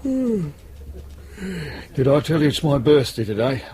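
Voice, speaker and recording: A man's voice: fairly high pitch, very animated, unhurried, mellow. An actor playing a world-weary, sardonic English detective sergeant in his forties in a radio drama. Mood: dry, downbeat. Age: 40s.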